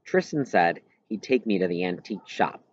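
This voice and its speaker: Male audiobook reader, lowish voice, first-person narration, slightly dull lo-fi recording. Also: animated, moderate pace. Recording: roomy echo, slightly dull lo-fi sound.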